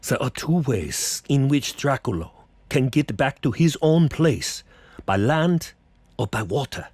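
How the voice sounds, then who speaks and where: An actor playing a grave older vampire-hunting professor, narrating a gothic radio play with measured, stilted foreign phrasing. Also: male voice, medium pitch, lively intonation, a little mellow, dry recording. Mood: solemn. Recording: dramatic music behind the voice.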